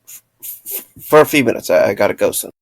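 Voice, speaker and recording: A man playing a gentle, reassuring former night worker in an amateur audio drama, speaking with a hesitant, stammering delivery. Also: on the low side, lively intonation, a little fast, creaky, no room sound.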